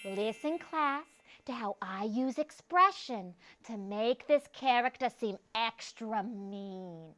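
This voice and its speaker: A woman acting as an expressive schoolteacher talking to her young class in an exaggerated, theatrical way during a read-aloud skit. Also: fairly high pitch, slow pace, hardly any pauses.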